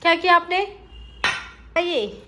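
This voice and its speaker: Hoarse young mother vlogging at home. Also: very high voice, lively intonation, slow, sharp tone. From Himalayan hill region of India. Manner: chatty, talks to camera and coaxes her toddler. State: hoarse from a cold. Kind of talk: casual home vlog. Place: family home.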